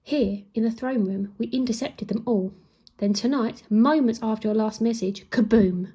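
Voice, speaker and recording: A woman voicing a scheming male Lord Protector in a calm, oily storybook reading. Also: fairly high pitch, normal speed, slightly rough. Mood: smooth.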